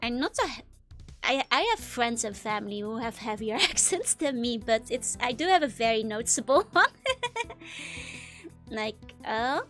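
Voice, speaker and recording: A woman's voice: on the high side, animated, moderate pace, pauses often, fairly bright tone, faint music behind. A young woman with a Dutch accent chatting casually while streaming a game, rambling with lots of fillers and giggles. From Netherlands. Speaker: VTuber streamer. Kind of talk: casual gameplay chat reading chat.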